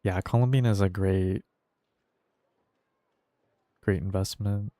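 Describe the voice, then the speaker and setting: Low-voiced, laid-back man chatting on stream. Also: steady tone, slow, warm tone, no room sound, clean studio sound. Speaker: game streamer. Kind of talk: casual livestream chat while gaming. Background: faint music.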